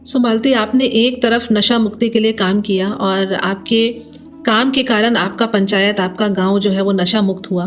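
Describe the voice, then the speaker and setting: A woman hosting an interview podcast, summing up deliberately before putting her questions, on a slightly dull recording. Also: mid-range voice. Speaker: podcast host.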